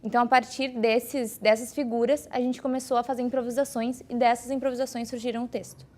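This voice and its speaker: Quick-talking woman. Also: fairly high pitch, level tone, darkish tone, a little hiss or hum. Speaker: actress. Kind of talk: casual interview.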